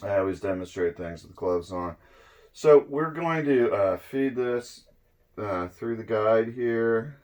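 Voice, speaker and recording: A middle-aged man giving a casual, unhurried hands-on demonstration, explaining each step as he goes. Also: fairly low pitch, lively intonation, a little mellow. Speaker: presenter of electronics demo videos. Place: indoors at a draped table.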